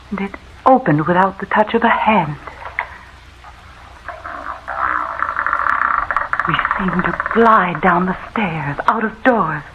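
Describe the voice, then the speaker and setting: An actress playing a doomed, haunted wife in melodramatic radio horror, breathy and full of dramatic pauses. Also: female voice, mid-range voice, natural voice. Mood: haunted, hushed. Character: doomed, haunted wife telling her terrifying tale by letter. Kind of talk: 1950s radio horror acting. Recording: muffled, noisy vintage radio recording.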